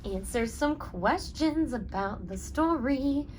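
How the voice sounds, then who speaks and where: A woman's voice: high voice, animated, slow pace, slight background noise. A woman reading a children's picture book aloud and speaking to young listeners in an instructive way. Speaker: story reader and reading teacher.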